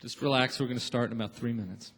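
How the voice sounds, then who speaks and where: A man's voice: mid-range voice, fairly even intonation, fast talker, fairly bright tone. Man emceeing in a big echoey hall. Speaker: radio show host and emcee. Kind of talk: warm-up announcements to a live theater audience. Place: on stage in a large theater. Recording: echoey theater hall with long reverb.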